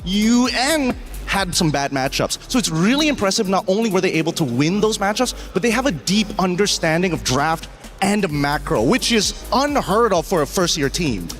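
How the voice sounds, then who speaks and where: A man's voice: high-pitched, big pitch swings, normal speed, a bit bright, soft background music. A man giving analytical esports commentary in an eager, explanatory way.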